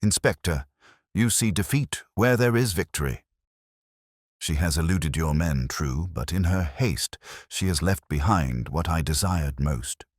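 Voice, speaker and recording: A man voicing a cool, precise, commanding detective in a measured, deliberate audiobook performance. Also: extremely low pitch, lively intonation, dry recording, studio quality, exaggerated voice. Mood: assured, deliberate.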